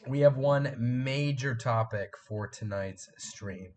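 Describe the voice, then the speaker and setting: An eighteen-year-old man hosting a casual live stream from a room at home, hoarse and congested from a cold, rambling and repeating himself as he chats with viewers. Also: medium pitch, takes it slowly, a little mellow, slight background noise. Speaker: live stream host and fan film maker.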